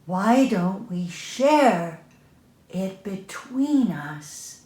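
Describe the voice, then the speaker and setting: Lady reading as clever proud coyote guy from a storybook, slow n emphatic, roomy echo. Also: mid-range voice, expressive, dark tone. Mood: reasoning. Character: proud male coyote, clever peacemaking trickster. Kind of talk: storybook read-aloud.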